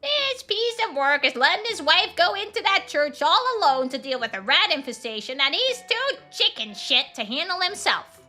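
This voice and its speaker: Woman doing a high, bossy wiseguy alpaca. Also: big pitch swings, normal speed, pushed and bright, soft background music, exaggerated voice. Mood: scornful. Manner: mouthy, streetwise wiseguy talk. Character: bossy, heckling male spotted alpaca gang leader.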